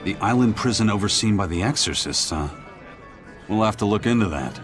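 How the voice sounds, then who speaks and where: An actor playing a stern pirate first mate in a game, measured and matter-of-fact. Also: male voice, on the low side, lively intonation, lightly acted. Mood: grave. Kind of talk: dramatic game voice acting. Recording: music behind the voice.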